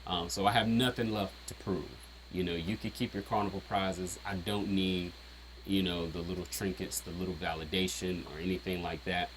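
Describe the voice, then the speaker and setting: A man with a deep voice casually breaking down his own rap lyrics, rambling with lots of "um" and "you know". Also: steady tone, moderate pace, slight background noise, rough recording. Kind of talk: casual explanatory chat.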